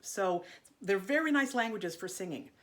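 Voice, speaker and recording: A woman teaching an online singing lesson in a gentle, chatty way, her voice a little congested from allergies. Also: mid-range voice, expressive, normal speed. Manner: gentle, chatty, demonstrating vocal sounds.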